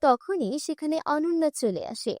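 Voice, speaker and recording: A woman's voice: high-pitched, lively intonation, normal speed, darkish tone, no room sound, clean studio sound. A woman narrating a scripted cartoon fairy tale.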